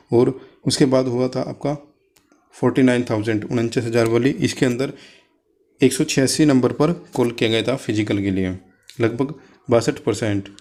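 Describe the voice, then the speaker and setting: A man's voice: medium pitch, a little mellow. A man presents as an exam coach, explaining informally and step by step to students, mixing in English terms.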